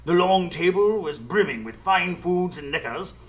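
An actor playing an earnest gentleman narrator, telling a detective tale formally in a live radio drama. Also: sits high, expressive, moderate pace. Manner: formal storytelling. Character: Doctor Watson, earnest literary gentleman narrator.

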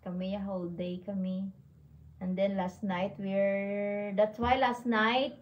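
A woman's voice: mid-range voice, flat delivery, slow pace, highs cut off. A woman in her early forties with a Filipino accent, chatting casually on a livestream and mixing in Tagalog words. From Philippines. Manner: mixes Tagalog words into English. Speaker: livestream host. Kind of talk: casual livestream chat, reading viewer comments. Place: room at home. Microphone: phone at arm's length.

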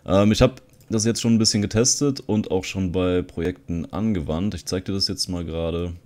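A man talks in a low voice. He presents like an online video host walking through software on screen. His delivery is casual and conversational, explaining as he goes. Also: fairly even intonation, normal speed. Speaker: video host, content creator. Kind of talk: casual screen-recording tutorial commentary.

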